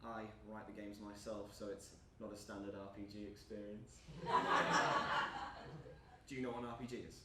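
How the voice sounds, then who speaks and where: A man's voice: lowish voice, little pitch movement, normal speed, long pauses, lightly acted. A young man acting on stage as an awkward, eager, lonely gamer, rambling and apologising nervously. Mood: awkward, friendly. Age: young adult. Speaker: actor in a stage play. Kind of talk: live stage acting, naturalistic dialogue. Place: indoor stage performance space. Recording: echoey room from far off, background noise.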